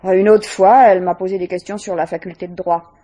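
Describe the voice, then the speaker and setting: An actress playing a guarded, disdainful young student, curt and defensive, in a 1950s French radio mystery. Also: female voice, medium pitch, fairly even intonation, fairly quick, dark tone, lightly acted.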